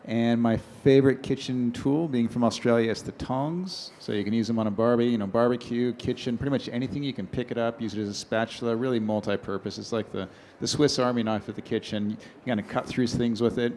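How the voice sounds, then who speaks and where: A man from the United States introducing himself at a workshop in a relaxed, joking way. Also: medium pitch, brisk, hardly any pauses, slight background noise. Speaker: workshop participant. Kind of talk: self-introduction. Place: conference room.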